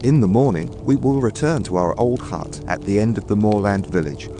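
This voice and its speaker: A man's voice: lowish voice, expressive, a little fast, voice pushed down, natural voice. A man voicing a good-natured, earnest cobbler in a fairy tale, courtly in manner, over music. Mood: contented.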